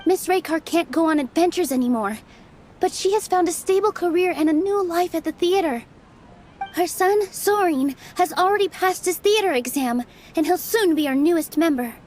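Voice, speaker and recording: An actress playing an earnest young theater dancer in a video game, gentle yet resolute and heartfelt. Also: female voice, very high-pitched, lively intonation, normal speed, slight background noise. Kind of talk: scripted video game dialogue.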